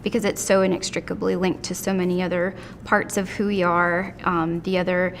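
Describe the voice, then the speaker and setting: Earnest lower-voiced woman educator. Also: little pitch movement, normal speed, slight background noise. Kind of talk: interview commentary.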